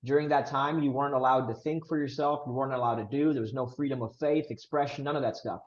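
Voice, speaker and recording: A man's voice: medium pitch, steady tone, quick pace, muffled recording. A man in his thirties from Romania, a podcast guest earnestly telling his family story with emphatic delivery. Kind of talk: casual interview storytelling.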